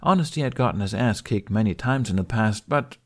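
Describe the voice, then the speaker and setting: A man's voice: lowish voice, brisk. A man reading scripted fiction as an audiobook narrator.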